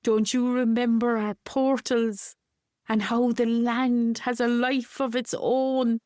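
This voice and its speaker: An earnest village man who pleads and urges, raised a little higher and louder than the storyteller's usual voice, as a woman puts him on. It is a spoken storybook telling, slow and dry. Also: level tone, smooth, no echo. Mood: pleading.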